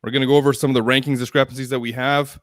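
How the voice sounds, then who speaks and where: A young man co-hosting a live fantasy football show, running the segments in a brisk, teasing way. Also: medium pitch, flat delivery, dry recording, clean studio sound. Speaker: co-host. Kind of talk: casual fantasy football chat.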